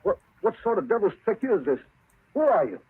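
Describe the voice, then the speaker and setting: An actor playing a scheming, ruthless, impatient crime boss in 1940s radio drama style, with a husky voice. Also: male voice, sits high, wildly swooping pitch, a little fast, dry recording, full character voice. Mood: alarmed, defiant. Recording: tinny, muffled old radio broadcast.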